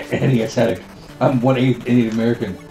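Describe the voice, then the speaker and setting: Gravelly, laughing man. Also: mid-range voice, steady tone, a little fast, dark tone, roomy sound, faint music behind, poor audio. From Newfoundland. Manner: chatty, laughs often, stumbles over words. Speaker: co-host. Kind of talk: casual live-stream chat.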